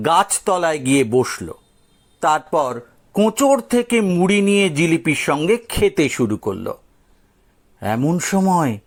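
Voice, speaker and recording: Slow, very expressive male storyteller, high-pitched. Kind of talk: dramatic scripted audio story narration.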